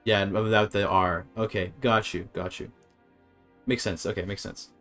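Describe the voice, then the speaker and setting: A man's voice: on the low side, fairly even intonation, moderate pace, fairly bright tone, faint music behind. A man casually streaming a game, thinking aloud as he reads his chat and works through grammar. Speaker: streamer learning a language. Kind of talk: casual live-stream commentary.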